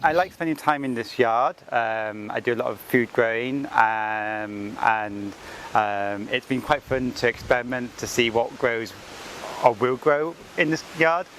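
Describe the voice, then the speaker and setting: A man with an English accent, speaking thoughtfully in an interview and pausing with frequent fillers. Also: medium pitch, a bit bright, slight background noise. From England. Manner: thoughtful, with many ums and likes. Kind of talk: reflective personal account.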